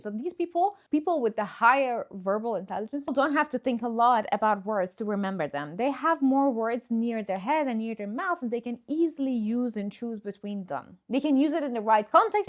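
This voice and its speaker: A woman with a Persian accent, a native Farsi speaker, coaching listeners directly in an encouraging, emphatic instructional talk. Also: a little high. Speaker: presentation skills coach, video host.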